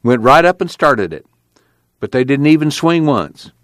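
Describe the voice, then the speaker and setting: A man's voice: mid-range voice, very animated, normal speed, fairly bright tone, no room sound, clean studio sound, pitched above the performer's own voice. A man voicing a scrappy young guard with a wheedling drawl. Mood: eager.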